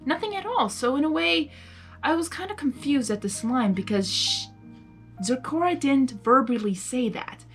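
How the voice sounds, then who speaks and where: A woman reading fan fiction aloud as an amateur, excitable and giggly, mixing narration with casual reactions. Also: sits high, normal speed. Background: music.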